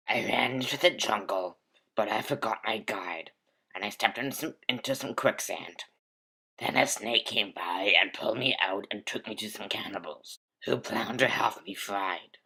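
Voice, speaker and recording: A man with a breathy, husky voice reciting a comic tall-tale poem. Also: on the low side, moderate pace, bright, forceful tone, low-quality recording.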